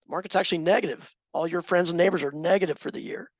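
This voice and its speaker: A man teaching a live trading class in a conversational way, asking the class questions, with a slightly hoarse voice. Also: sits high, racing, no echo, highs cut off. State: slightly hoarse, clearing throat. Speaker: trading instructor.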